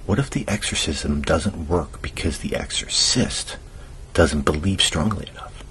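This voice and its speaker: A man's voice: unhurried, fairly bright tone. A man acting as a dry, earnest conspiracy-minded cousin in an ASMR audio drama, speaking in a sardonic, colloquial, explanatory way. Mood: earnest, musing. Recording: noisy low-quality amateur recording.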